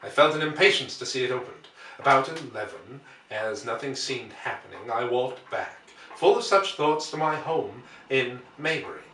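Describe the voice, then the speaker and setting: A man reading a classic novel aloud as an audiobook narrator, with measured, deliberate phrasing. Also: mid-range voice, animated, bright, forceful tone, slight background noise. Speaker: audiobook reader. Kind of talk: dramatic literary narration. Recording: roomy, distant microphone.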